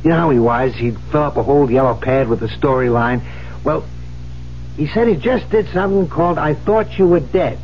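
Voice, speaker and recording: An actor playing a pushy, persuasive friend in a 1970s radio drama, speaking with urgency. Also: male voice, a little high, a little fast, lightly acted. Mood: coaxing. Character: insistent, pushy male friend coaxing a widow. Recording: muffled, faintly noisy old radio broadcast.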